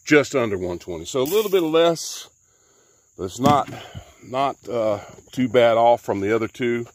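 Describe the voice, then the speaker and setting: A man's voice: mid-range voice, expressive, moderate pace. A man, casually walking through a jet ski repair outdoors, folksy and rambling with many fillers. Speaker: DIY mechanic. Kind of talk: casual how-to walkthrough.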